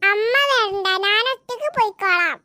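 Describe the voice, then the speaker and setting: Squeaky, very high-pitched little cartoon boy. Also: normal speed, sharp tone, dry recording, studio quality, exaggerated voice. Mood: innocent, insistent. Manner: naive, eager questioning. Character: silly food-obsessed little boy, naive and chatty. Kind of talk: Malayalam comedy cartoon dialogue.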